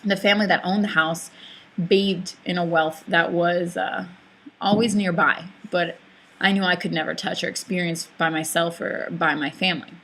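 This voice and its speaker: A woman's voice: on the low side, fairly even intonation, normal speed, fairly bright tone. A young woman whose first language is not English, narrating a reflective personal essay, thoughtful, with hesitant fillers and restarts.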